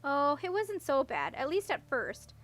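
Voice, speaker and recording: A woman's voice: sits high, very animated, a little slow, fairly bright tone, soft background music, full character voice. A woman voicing an awkward, unlucky young princess in a storybook, earnest and rambling as she explains herself. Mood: rueful. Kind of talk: audiobook character voice.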